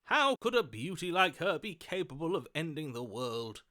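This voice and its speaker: A melodramatic lovestruck romantic, swooping wildly in pitch. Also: male voice, big pitch swings, normal speed, pushed and bright. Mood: smitten, pleading. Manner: theatrical, florid, overwrought. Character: lovestruck melodramatic romantic hero, a gushing voice in the head. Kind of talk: video game character voice acting.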